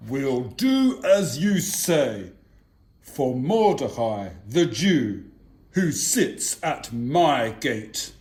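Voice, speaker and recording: Man playing a slow, dramatic puppet king. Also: medium pitch, sing-song, darkish tone, pitched below the performer's own voice. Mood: grand, attentive. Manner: theatrical, ponderous. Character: pondering, dramatic male king of ancient Persia.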